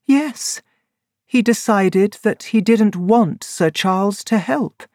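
A woman's voice: slow, a little mellow, no room sound, lightly acted. A reader voicing an upset young woman who pleads and confesses, in a dry, close recording. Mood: regretful. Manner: emotional, high and strained. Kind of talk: audiobook character reading.

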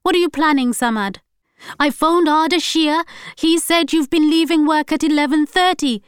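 A woman's voice: normal speed, no room sound, studio quality, natural voice. A woman doing a screaming, furious wife. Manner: shrill, screaming. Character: furious shouting Bengali wife and mother.

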